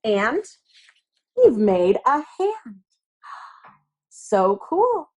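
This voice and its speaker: A woman teacher walks small children through a hands-on craft. Her delivery is very slow and patient, with a lively, swooping melody. She keeps each instruction simple and spells it out step by step. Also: fairly high pitch.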